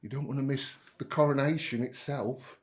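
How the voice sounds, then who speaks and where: A man's voice: medium pitch, normal speed, close to an everyday voice. An actor playing a proud, chatty middle-aged working-class father in a naturalistic audio drama, homely and enthusiastic.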